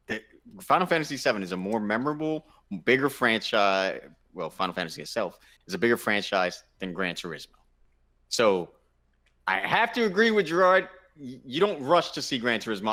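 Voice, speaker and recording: A man's voice: lowish voice, pushed and bright. A man on a podcast panel casually debating in an insistent way, often restarting his sentences as he argues. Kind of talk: casual group debate about movies and games.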